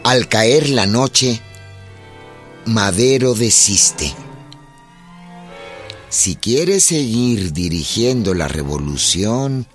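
Slow-paced male radio drama narrator. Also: fairly low pitch, lively intonation. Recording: loud music and effects behind.

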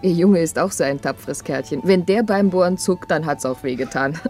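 Actress as a condescending, chatty well-off wife. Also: female voice, sits low, brisk, darkish tone, faint music behind, lightly acted. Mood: condescending, amused. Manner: patronizing, gushing, arch. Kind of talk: German radio play acting.

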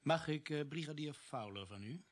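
Earnest, measured drama narrator. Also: male voice, on the high side, animated, no room sound. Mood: sober. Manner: measured, grave storytelling. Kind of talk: radio drama acting and narration.

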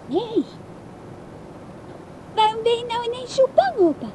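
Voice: very high-pitched, animated, a little slow, dark tone, a little hiss or hum, low-quality recording. A performer playing a young child in a radio play, frank and affectionate with an older relative. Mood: earnest, probing. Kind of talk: Dutch radio play acting.

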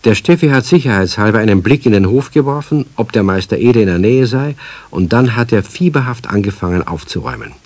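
A man narrating a children's radio play as a wry storyteller, measured. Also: on the low side, lively intonation, darkish tone, no room sound.

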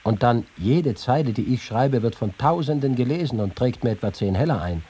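A wry young writer telling his own stories in a radio feature. His voice is mellow and husky, at a middle pitch. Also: lively intonation, normal speed. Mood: wry complaint. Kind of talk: literary reading in a radio feature.

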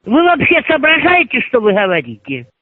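A woman's voice: sits high, wildly swooping pitch, moderate pace, slightly rough, no room sound. Abusive woman on a tinny phone line. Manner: abusive, scolding, swearing. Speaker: phone caller.

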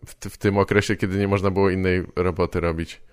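Guy hosting a podcast, lowish voice, dry close sound, casual halting questions. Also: flat delivery, clear, resonant tone, no echo. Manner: halting, informal questions with backchannel agreement. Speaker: podcast host, interviewer. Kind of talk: casual interview chat.

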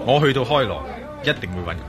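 A man's voice: mid-range voice, sing-song, fast talker, music in the background, a crowd in the background, lightly acted. An actor playing a determined male adventurer in a Cantonese radio drama, speaking firmly and decisively with a Hong Kong accent. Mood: thoughtful. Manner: firm, urgent, decisive. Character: determined, commanding male adventurer on an urgent mission.

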